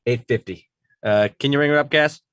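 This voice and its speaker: A man playing a laid-back, patient co-op baker with a dry, deadpan manner in a comedy table read. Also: medium pitch, a little fast, smooth, dry recording, lightly acted. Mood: businesslike.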